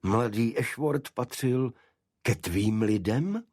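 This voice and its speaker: A man voicing a brisk, probing gentleman detective in an audiobook, husky and incisive in his questioning. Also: medium pitch, expressive, dry recording, studio quality, pitched above the performer's own voice.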